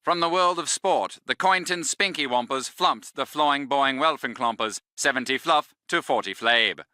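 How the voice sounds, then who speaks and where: Guy doing stuffy british radio sports announcer, deadpan, very sharp bright voice, dry. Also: mid-range voice, normal speed, clear, resonant tone, dry recording, natural voice. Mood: deadpan, formal. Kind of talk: radio news reading parody.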